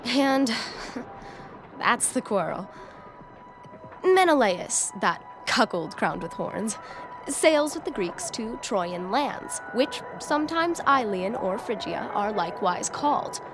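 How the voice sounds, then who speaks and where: A wry, theatrical herald of war played by a woman sets out Shakespearean verse for an audio drama. The voice is on the high side and very unhurried, each phrase placed with care. Loud music plays underneath. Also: sing-song, full character voice, rough recording. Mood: wry, amused. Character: armed prologue narrator, wry herald of war.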